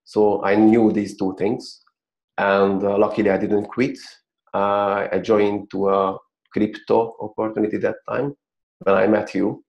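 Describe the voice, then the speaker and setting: Hungarian man, flat halting speech. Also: lowish voice, vocal fry. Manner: earnest, halting with many "uh" fillers. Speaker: guest. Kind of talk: interview over video call. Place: small plain-walled room. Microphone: video call webcam. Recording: dull lo-fi video call audio.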